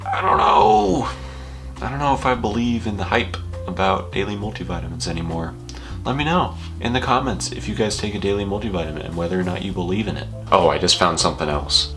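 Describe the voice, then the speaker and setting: A man's voice: low-pitched, a bit bright. A young man telling casual, rambling stories to camera with dry, self-deprecating humor. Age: young adult. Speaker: vlogger.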